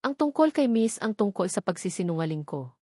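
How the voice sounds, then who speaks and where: A frightened young woman, voiced by the narrator, speaks with a shaky, hesitant voice in a storybook romance. The sound is dry and close, and her pitch sits in an ordinary middle range. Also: very animated, a little fast, a little mellow, dry recording. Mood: fearful. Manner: trembling, hesitant.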